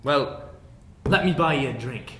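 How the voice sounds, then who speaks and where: A man's voice: medium pitch, measured pace, natural voice. A man playing a friendly, casual dwarven drifter and ladies' man in a tabletop game. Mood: friendly, understanding. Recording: roomy echo, clear background noise.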